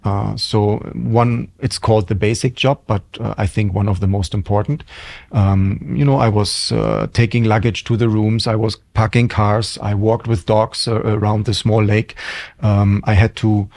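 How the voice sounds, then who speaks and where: Man, German accent, middle-aged, deep, gravelly, thoughtful podcast guest. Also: fairly even intonation, normal speed, darkish tone, dry recording. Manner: reflective, with frequent ums and restarts. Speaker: hotel general manager. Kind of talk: podcast interview.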